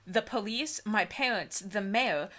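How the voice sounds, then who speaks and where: A woman with a medium-pitched voice reads aloud as a casual co-host. She is mock-dramatic and giggly, dropping into chatty asides and exclamations. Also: measured pace, sharp tone. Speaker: co-host of a comedy book-reading video.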